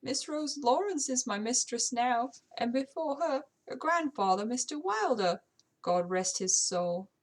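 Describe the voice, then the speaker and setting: A woman's voice: high-pitched, lively intonation, measured pace, pitched above the performer's own voice, heavily acted. A woman voicing a proper, tearful older English housekeeper with a put-on British accent, in an audiobook-style story. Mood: stiff, resentful. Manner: proper, deferential, old-fashioned servant speech. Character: tearful prim elderly English housekeeper, loyal servant.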